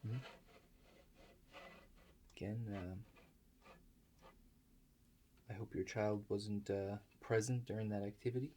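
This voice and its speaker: A man performing an improvised ASMR roleplay monologue in a halting, hesitant, deadpan manner. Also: lowish voice. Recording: clear background noise.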